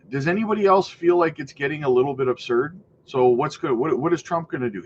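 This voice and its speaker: A man's voice: medium pitch, highs cut off, a little hiss or hum. A man with a stuffy nose from a cold, hosting a crypto news channel in a casual, talkative way, reading articles aloud and breaking in with exasperated asides to "guys.". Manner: exasperated asides, frequent "guys", halting restarts.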